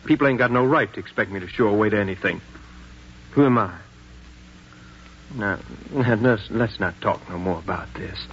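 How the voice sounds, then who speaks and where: A man's voice: on the low side, normal speed, long pauses, a bit bright, close to an everyday voice. Man acting a hesitant, stubborn landowner on muffled old radio. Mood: uneasy, evasive. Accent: American. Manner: hesitant, repeats words, deferential. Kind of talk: 1950s radio drama acting. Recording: muffled, noisy 1950s radio recording.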